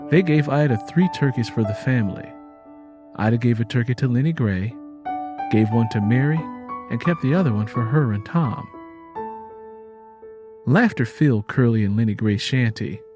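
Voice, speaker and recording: A man reading a scripted story as an audiobook narrator. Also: mid-range voice.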